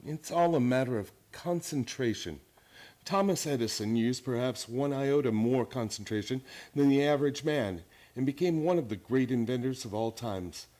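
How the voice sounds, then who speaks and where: A mid-pitched young man's voice in radio-play style. The actor plays an intense, idealistic teacher who speaks formally and lectures with earnest conviction. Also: animated, moderate pace, dark tone, natural voice. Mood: earnest, lecturing. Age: young adult. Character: intense, idealistic young male psychology teacher obsessed with mind power. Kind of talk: radio drama acting.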